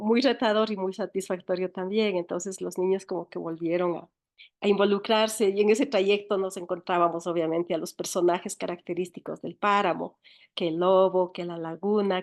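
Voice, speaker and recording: Ecuadorian woman in a relaxed interview conversation. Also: lowish voice. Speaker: interview guest, writer and educator.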